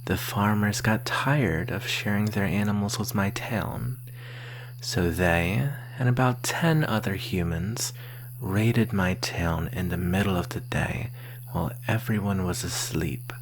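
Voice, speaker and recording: A woman playing a flirtatious, menacing vampire in a breathy, whispered ASMR roleplay, teasing and intimate up close to the mic. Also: extremely low pitch, poor audio. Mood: bitter. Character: flirtatious, menacing thirsty vampire lurking in woods. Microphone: close microphone. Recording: faint steady hiss and hum.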